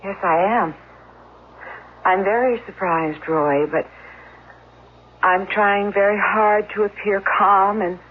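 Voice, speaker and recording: A woman's voice: medium pitch, fairly even intonation, slow, long pauses, lightly acted. Frightened mother, played by a woman: careful, placating radio-drama delivery on a narrow, faintly hissy old recording. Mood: guarded, fearful. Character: frightened mother straining to appear calm. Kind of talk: 1970s radio drama acting.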